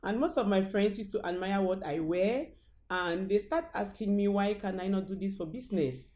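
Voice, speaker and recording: A woman's voice: medium pitch, normal speed, darkish tone. Cameroonian woman in her thirties. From northwest Cameroon. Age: 30s. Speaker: guest, fashion designer and business founder. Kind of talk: interview answers about own experience. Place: indoor studio.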